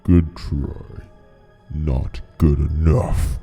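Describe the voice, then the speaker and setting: Very deep, menacing dark alter ego. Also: male voice, fairly even intonation, drawn-out words, creaky, breathy edge, faint music behind, full character voice. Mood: taunting. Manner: menacing, taunting. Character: ruthless dark alter ego of a hedgehog hero, male. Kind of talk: audio drama acting.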